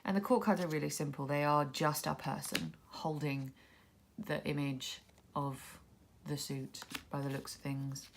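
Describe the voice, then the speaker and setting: Low gravelly woman with a cold. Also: measured pace, background noise. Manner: relaxed, musing, thinking aloud. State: congested with a cold, sniffly, coughing. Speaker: tarot deck reviewer. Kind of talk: casual walkthrough commentary.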